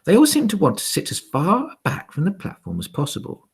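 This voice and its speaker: A man reading a children's story aloud in a dramatic, theatrical way, with hushed, suspenseful dips. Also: high-pitched, wildly swooping pitch, a little fast, breathy edge.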